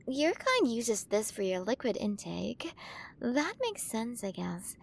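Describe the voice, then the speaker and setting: A woman voicing an ancient alien queen in an ASMR roleplay, curious and playful, gently condescending and possessive toward her human. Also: mid-range voice, creaky, a little hiss or hum. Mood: fascinated, musing. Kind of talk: ASMR roleplay, acted monologue to the listener.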